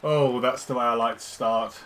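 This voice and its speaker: A man with a stuffy cold, hosting a casual live stream with chatty, relaxed commentary as he plays. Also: medium pitch, moderate pace, a little hiss or hum. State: congested from a cold. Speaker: gaming streamer.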